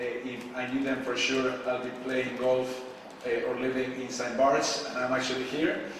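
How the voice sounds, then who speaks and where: A man from Argentina giving a casual talk to a live audience, with many filler pauses and questions put to the crowd. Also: medium pitch, noisy background. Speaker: conference speaker. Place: event space with a live audience. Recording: echoey hall, distant mic.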